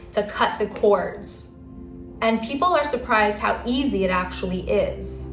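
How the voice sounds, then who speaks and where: A soft-spoken woman giving a casual spiritual talk to camera in a calm, earnest way. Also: medium pitch. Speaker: spiritual channel host. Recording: roomy echo, faint music.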